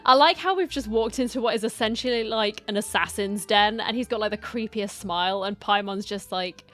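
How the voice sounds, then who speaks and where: A woman streamer reacts casually to a game in a medium-pitched voice. The asides are dry and wry, with faint music in the background. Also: fairly quick, fairly bright tone.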